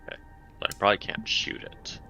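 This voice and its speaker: A man's voice: brisk, music in the background. A man playing a tabletop game, chatting casually and hesitantly as he thinks aloud.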